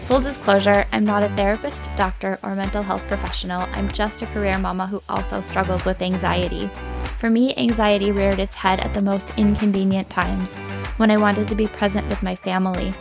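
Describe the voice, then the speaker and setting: A woman's voice: medium pitch, fairly even intonation, fairly quick, creaky, music in the background. Scratchy-voiced woman podcast host, sincere. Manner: sincere, encouraging, speaks straight to the listener. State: tired from lack of sleep. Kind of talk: podcast monologue.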